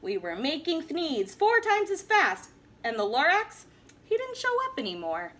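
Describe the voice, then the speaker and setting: Animated woman reading a rhyming story. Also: high voice, unhurried. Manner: animated, sing-song rhyming delivery. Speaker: teacher reading a picture book. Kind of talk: storybook read-aloud.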